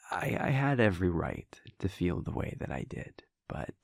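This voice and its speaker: A man playing an affectionate, wry vampire prince boyfriend in an intimate roleplay audio, talking in a casual, hesitant, soft-spoken way. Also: no room sound, clean studio sound, heavily acted. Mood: rueful. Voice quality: low, creaky. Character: playful male vampire prince, affectionate boyfriend, wryly reflective. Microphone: close, dry studio-style microphone.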